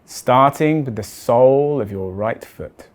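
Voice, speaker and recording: Measured man guiding a meditation. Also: medium pitch, expressive. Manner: measured, deliberate, with soft phrase endings. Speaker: meditation guide. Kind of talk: guided body scan meditation.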